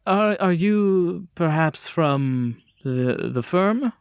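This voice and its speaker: Man playing a fussy, stammering wealthy gentleman, higher-pitched. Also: expressive, drawn-out words, clean tone, no echo, studio quality, full character voice. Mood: flustered politeness. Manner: hesitant, stammering, polite. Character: fussy, flustered wealthy married gentleman, eager to please.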